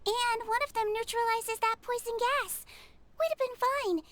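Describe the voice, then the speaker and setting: A woman voicing a small, excitable fairy-like companion creature in a video game. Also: extremely high pitch, moderate pace. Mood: composed, chatty.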